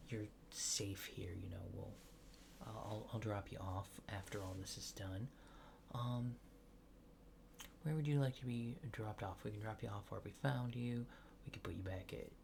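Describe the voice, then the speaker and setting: Male ASMR performer as a laid-back, reassuring alien examiner: hushed, gravelly, very unhurried and hesitant delivery, sometimes whispered. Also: fairly low pitch, a little hiss or hum. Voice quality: soft, partly whispered. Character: calm, casual male alien examiner reassuring an abducted human. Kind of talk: soft-spoken ASMR roleplay.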